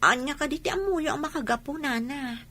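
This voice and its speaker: A woman's voice: high-pitched, fairly quick, bright, forceful tone. A woman playing a polite, bashful young village woman in a radio drama. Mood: bashful, evasive. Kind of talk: radio comedy drama dialogue.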